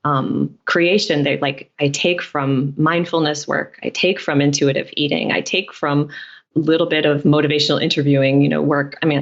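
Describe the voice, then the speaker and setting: A woman's voice: deep voice, gravelly, dull audio. A woman speaking as a podcast guest in a conversational interview, explaining things in a reflective way. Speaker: podcast guest, dietitian and author.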